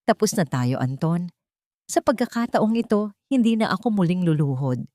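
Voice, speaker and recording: A woman's voice: on the low side, animated, moderate pace, warm tone, no room sound, lightly acted. Female narrator as wronged Filipina wife, now icy and resolute, audio drama heroine, dry sound. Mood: cold resolve. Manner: cold, resolute. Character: wronged wife turned cold, proud heiress.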